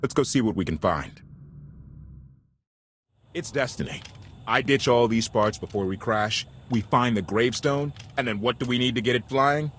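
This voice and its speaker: A man's voice: medium pitch, moderate pace, long pauses, fairly bright tone, slight background noise, natural voice. A man playing an earnest, idealistic deserter warship captain in a video game, talking in a casual, sincere way. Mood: upbeat, confident.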